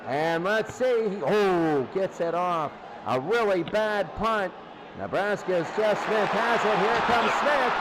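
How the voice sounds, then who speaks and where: A man calling football play-by-play live in an excitable, exclamatory way, often repeating phrases. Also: sits high, background noise. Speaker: play-by-play sports commentator. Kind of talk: live American football commentary.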